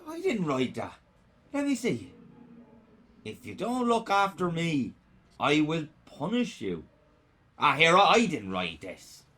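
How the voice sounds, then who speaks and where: A man acting as an exasperated factory worker in a radio play. His voice sits high and swings widely as he protests and makes defensive excuses. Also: huge pitch swings, slow, long pauses, sharp tone, heavily acted. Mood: baffled, defensive.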